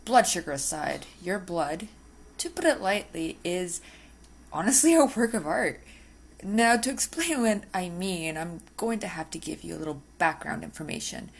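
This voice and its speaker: Woman acting as obsessive vampire lady, swooping pitch. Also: expressive, normal speed, creaky, husky, full character voice. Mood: coy, persuasive. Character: obsessive, possessive female vampire lab technician, outwardly polite. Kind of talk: ASMR roleplay acting. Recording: faint background noise.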